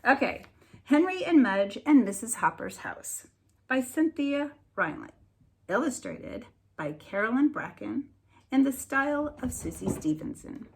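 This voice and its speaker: Slow, lively-pitched woman teacher reading aloud. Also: husky. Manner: deliberate enunciation with expressive storybook emphasis. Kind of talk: slow expressive read-aloud lesson for children.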